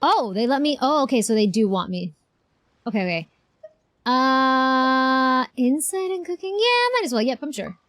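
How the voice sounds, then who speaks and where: A woman's voice: high-pitched, animated, moderate pace, fairly bright tone, dry recording, studio quality. A woman streamer giving casual game reaction commentary, chatty and thinking aloud. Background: faint game music.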